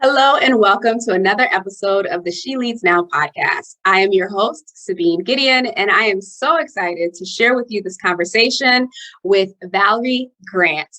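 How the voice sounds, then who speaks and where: A woman's voice: medium pitch, moderate pace, pushed and bright, no echo, low-quality recording. A woman hosting a podcast, speaking in an effusive, motivational manner. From Haiti. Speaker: podcast host. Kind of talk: podcast intro and interview hosting.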